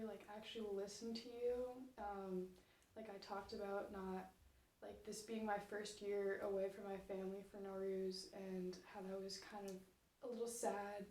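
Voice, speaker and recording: A woman's voice: medium pitch, steady tone, moderate pace, dark tone. A young woman, a college student, answering interview questions casually with many fillers. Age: young adult. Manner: casual, frequent "like" and "um". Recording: echoey room, voice from far off.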